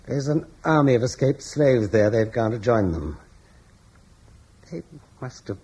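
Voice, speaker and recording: A gloomy, hesitant neighbor who stammers as he speaks, his voice sitting low and swinging widely in pitch. He sounds bitter and fearful throughout. Faint hiss from an old radio broadcast lies under him. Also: a little mellow. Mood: grim. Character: anxious older Romano-British man, fearful, bitter. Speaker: neighbor fleeing with his wife. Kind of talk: radio drama acting.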